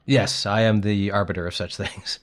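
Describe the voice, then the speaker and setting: A man with a Canadian accent co-hosting a podcast in casual chat, with wry, deadpan humour. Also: on the low side, normal speed. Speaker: podcast co-host.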